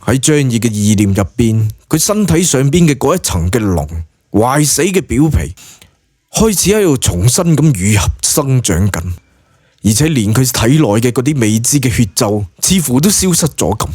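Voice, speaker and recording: A man's voice: mid-range voice, sing-song, moderate pace, a little mellow, slightly rough, dry recording, studio quality. A man with a Cantonese accent narrating a scripted story in a dramatic audiobook style.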